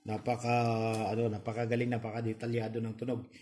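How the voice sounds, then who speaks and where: Mellow-voiced Filipino man explaining repairs. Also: medium pitch, completely flat delivery, fast talker, faint music behind. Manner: explains step by step, often seeking confirmation. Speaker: repair channel host. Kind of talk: casual hands-on repair demonstration.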